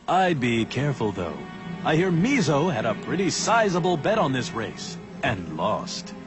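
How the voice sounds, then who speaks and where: An actor playing a sly, cautious race insider in a video game. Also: male voice, mid-range voice, big pitch swings, a little slow, over music. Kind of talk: video game cutscene acting.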